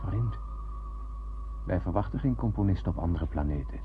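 Een acteur die in een hoorspel een ernstig, bezorgd bemanningslid van een ruimteschip speelt en plechtig, poëtisch vertelt. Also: male voice, low voice, expressive. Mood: solemn, brooding. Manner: solemn, deliberate, poetic. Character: grave, troubled male spaceship crewman narrating his tale. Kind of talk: literary radio drama narration. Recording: dull old radio-play recording, faint hiss.

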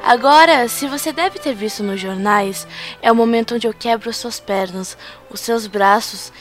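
A woman's voice: a little high, moderate pace, soft background music, lightly acted. A woman playing a mocking, sadistic female monster assassin in an audio drama, taunting with casual menace. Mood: gloating menace.

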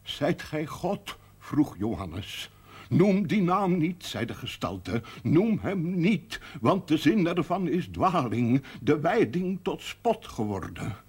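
An earnest male storyteller in a television drama. He speaks slowly and with measured, literary care. His voice is husky and a little high. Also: sing-song, a little mellow, some chatter behind, full character voice. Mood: solemn, mysterious.

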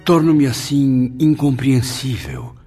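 A man's voice: medium pitch, big pitch swings, slow, dark tone, faint music behind. An actor playing a melancholy, guilt-ridden male spirit in a radio drama, with solemn, literary Brazilian diction. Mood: sorrowful.

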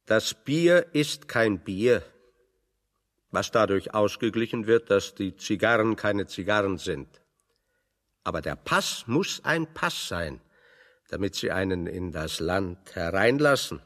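A big, pedantic physicist in a radio play, with a lowish voice and long reverb around it. He sets out his dry irony very slowly, in ornate deadpan sentences. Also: full character voice. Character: big, fat, pedantic physicist, drily ironic. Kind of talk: German radio drama dialogue.

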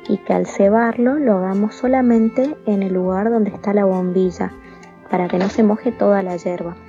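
A woman with a gravelly, mellow voice explains a process carefully, step by step. The recording is dull and lo-fi. Faint music plays behind her. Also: mid-range voice, fairly even intonation, normal speed. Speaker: interviewee. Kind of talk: giving instructions.

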